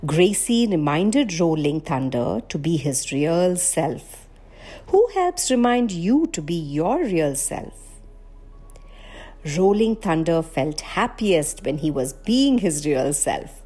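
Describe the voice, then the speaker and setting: Slow, expressive woman storyteller, mellow voice. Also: sits low. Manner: deliberate, gentle, addressing young listeners. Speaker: storyteller, Montessori educator. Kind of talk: expressive children's picture book reading.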